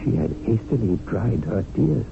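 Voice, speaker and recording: Wry, deferential servant-narrator, tinny radio. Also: male voice, on the low side, big pitch swings, creaky, heavily acted. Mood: measured storytelling. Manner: formal, flowery, measured phrasing. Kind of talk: 1970s radio drama narration and acting. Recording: noisy narrow-band old radio broadcast.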